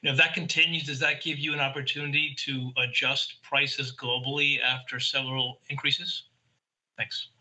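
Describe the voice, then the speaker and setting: A man's voice: mid-range voice, flat delivery, normal speed, pushed and bright, poor audio. A man calling in as an analyst, politely putting prepared questions to company executives on a conference call.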